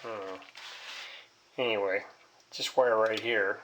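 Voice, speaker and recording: A man's voice: on the low side, fairly even intonation, takes it slowly, background noise. A man giving a casual, rambling hands-on repair demonstration, thinking aloud in a hesitant, folksy way. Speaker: hobbyist repairing a vintage radio.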